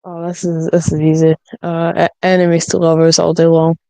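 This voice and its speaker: A woman chats casually and haltingly in an extremely even, monotone voice. It is smooth and mellow, with creak at the edges. She sounds like a writer thinking through her answer. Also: low voice, dry recording. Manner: hesitant, halting. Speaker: script writer on a panel. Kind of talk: casual podcast chat.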